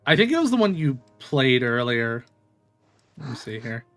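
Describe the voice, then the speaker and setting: A man doing casual live gameplay commentary in a playful, expressive way. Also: medium pitch, moderate pace. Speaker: game streamer. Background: music.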